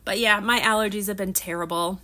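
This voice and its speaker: A woman's voice: medium pitch, steady tone, brisk, pushed and bright. A woman in her thirties, chatting casually on a livestream while she preps crafts, a little nasal from allergies, rambling with frequent restarts. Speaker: livestream host.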